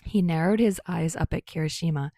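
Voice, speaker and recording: Female audiobook-style narrator, low pitched, dry close sound, telling a scripted story. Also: fairly quick, warm tone, no room sound. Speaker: fan fiction narrator.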